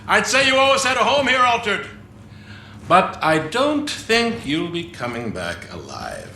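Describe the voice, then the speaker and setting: A man's voice: high voice, huge pitch swings, measured pace, vocal fry, sharp tone, exaggerated voice. A man playing a flamboyant, gloating warlord in a video game, with a theatrical, teasing, grandiose manner. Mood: teasing, warning. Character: flamboyant, gloating male warlord tempting an ally. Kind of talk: video game cutscene acting. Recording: echoey space with faint background noise.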